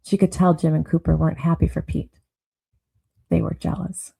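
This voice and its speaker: A woman narrating a noir audio drama with brooding, deliberate storytelling. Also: low-pitched, little pitch movement, gravelly, warm tone, slightly rough, no room sound.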